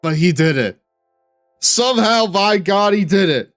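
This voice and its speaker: A man chatting casually with his chat while playing a wrestling game on stream, laid-back and drawling, and given to sudden excited shouts. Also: high voice, a little slow, faint music behind.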